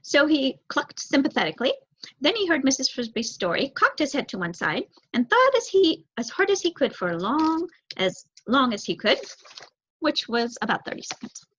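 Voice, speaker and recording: A young adult woman reading a children's book aloud as an expressive narrator. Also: sits high, moderate pace, breathy edge, dull audio. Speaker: story reader.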